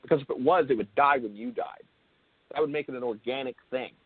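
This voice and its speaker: A man hosting an interview in an unscripted explanatory style, halting and rephrasing himself, with emphasis on key words. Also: mid-range voice, big pitch swings, gravelly, dry recording. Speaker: show host interviewing a guest. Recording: thin phone-like call audio.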